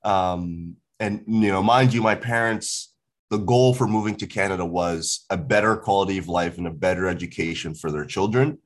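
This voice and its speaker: A man from Ghana, a guest in a casual interview, telling his story in a reflective, measured way. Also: low voice.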